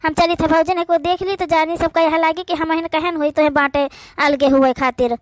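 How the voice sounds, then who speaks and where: A very high, closely recorded voice with an Awadhi accent opens up this meddling young sister-in-law played by a woman. She is a gossipy cartoon schemer who presses her point insistently to stir up family quarrels. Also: steady tone, normal speed, no echo, studio quality. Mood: conspiratorial. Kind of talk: village cartoon dialogue.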